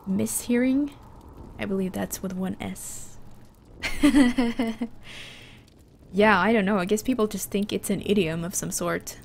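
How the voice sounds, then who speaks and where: Gravelly-voiced Finnish streamer woman. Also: mid-range voice, measured pace, long pauses, a little hiss or hum. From Finland. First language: Finland Swedish. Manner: chatty, restarts often, reads chat aloud. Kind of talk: casual livestream chat with viewers.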